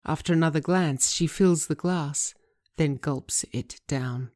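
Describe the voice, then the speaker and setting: A woman narrates a screenplay, reading out scene headings and directions. Her voice is fairly low, and her delivery is measured and deliberate. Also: a little mellow. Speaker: writer reading own script.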